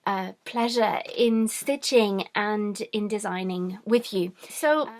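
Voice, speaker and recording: A middle-aged woman from France, born to British parents, speaking casually and a little haltingly as a craft vlog host. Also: medium pitch, a little mellow. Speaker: host, cross-stitch designer.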